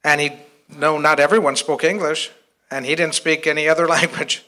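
An older American man speaking off the cuff as a historian to an audience, informal and a bit halting. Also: fairly high pitch, fairly even intonation, bright, forceful tone. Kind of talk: informal explanatory talk. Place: hotel conference room before a seated audience.

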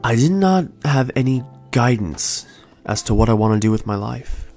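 A young man in his twenties hosting a podcast, telling his own story in a soft, slow, soothing way. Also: mid-range voice, animated. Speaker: podcast host. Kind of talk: calm reflective storytelling. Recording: faint lo-fi music bed. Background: soft lo-fi music.

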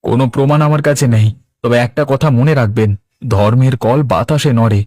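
A man playing a pious, long-suffering fisherman in a cartoon, measured and resigned. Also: medium pitch, a little mellow, no echo, studio quality, close to an everyday voice. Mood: stern, dignified. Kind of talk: Bengali cartoon dub.

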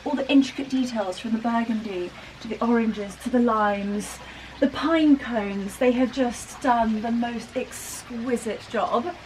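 A woman's voice: fairly high pitch, measured pace, a little mellow. Effusive woman, distant roomy sound. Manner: effusive, enthusiastic. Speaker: lifestyle vlogger. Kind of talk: house tour vlog presenting. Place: large rooms inside a house. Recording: roomy, distant camera mic, noisy.

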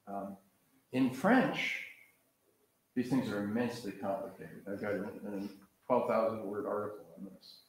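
A man's voice: fairly low pitch, measured pace. An older American man from the Pacific Coast gives a conversational, digressive lecture, pacing in front of a seated audience in a large lecture hall, picked up by a far camera. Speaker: professor leading a master class.

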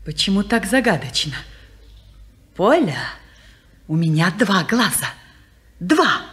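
An actress playing a restless, teasing young woman in her twenties in a 1950s radio play, playful and capricious, dropping to hushed whispers. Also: mid-range voice, big pitch swings, slow. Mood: probing. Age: 20s.

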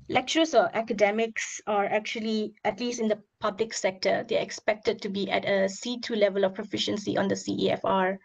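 A hoarse woman on a video call, asking an academic presenter several careful questions with many pauses. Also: medium pitch, low-quality recording. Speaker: audience member asking questions.

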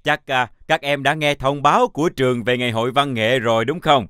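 A man voicing a kindly older male teacher in a children's cartoon, deliberate and encouraging. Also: medium pitch, steady tone, a bit bright, smooth, no room sound, clean studio sound, natural voice. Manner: deliberate, encouraging, speaks to pupils formally. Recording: music behind the voice.

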